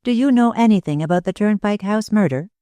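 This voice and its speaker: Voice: mid-range voice, animated, fairly quick, warm tone, no echo, clean studio sound, voice pushed up, close to an everyday voice. A reader voicing an anxious young woman who presses with urgent, faltering questions, in an audiobook.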